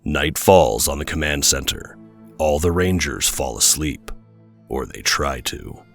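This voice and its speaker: A man's voice: rumbling low, expressive, unhurried, gravelly, faint music behind, heavily acted. A man playing the solemn narrator of a superhero audio drama, reading scripted scene descriptions dramatically. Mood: solemn, dramatic.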